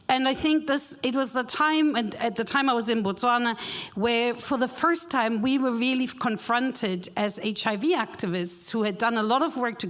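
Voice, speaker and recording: Loud, higher-voiced woman on a panel. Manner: loud, personal and reflective, with quick asides. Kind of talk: panel discussion. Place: conference panel.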